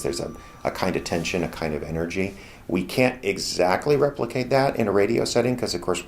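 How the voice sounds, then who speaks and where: A man's voice: deep voice, slight background noise. A middle-aged American man explaining things thoughtfully and conversationally in a casual promotional interview. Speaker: radio theater founder and organizer.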